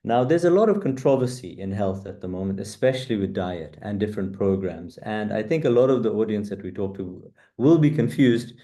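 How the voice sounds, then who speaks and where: A middle-aged man from the UK, answering interview questions in a measured, earnest way with frequent fillers. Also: on the low side, lively intonation, warm tone. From UK, born in East Africa. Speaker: guest, coach.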